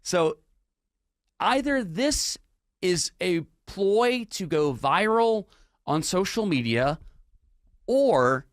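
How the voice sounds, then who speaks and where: A man hosting a live talk radio show with a hoarse, failing voice, stopping often to clear his throat and restart his sentences. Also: sits high, sing-song, very slow, pauses often, no echo, clean studio sound. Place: radio studio. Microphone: studio microphone.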